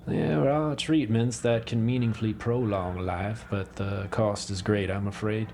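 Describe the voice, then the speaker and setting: An actor playing a measured, regretful healer in an audio drama, speaking carefully and formally. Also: male voice, on the low side, steady tone, creaky, a little mellow, a little hiss or hum. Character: measured, regretful male healer delivering bad news.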